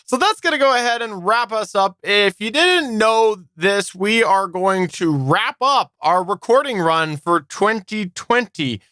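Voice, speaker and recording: Male podcast host, fairly high crisp voice, casual discussion delivery, dry studio-like sound. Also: lively intonation, a little slow, hardly any pauses, sharp tone, dry recording.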